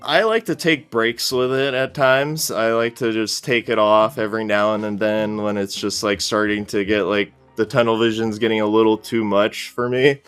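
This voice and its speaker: Low, smooth-voiced man chatting casually. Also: steady tone, normal speed, hardly any pauses, fairly bright tone, dry recording, faint music behind. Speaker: podcast guest, cosplayer. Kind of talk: casual interview chat.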